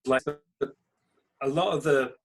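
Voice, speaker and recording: A British man with a mid-pitched voice talks in a casual interview. He comes across as modest and self-deprecating, explaining things in a conversational way as the guest. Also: normal speed. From United Kingdom. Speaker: podcast guest, comedy writer and creator.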